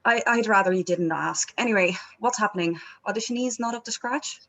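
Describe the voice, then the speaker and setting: A woman's voice: mid-range voice, fairly quick, fairly bright tone. A woman playing a flustered, apologetic rock band manager in a script read, with a hesitant, wry manner. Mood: sheepish. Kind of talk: informal script table read.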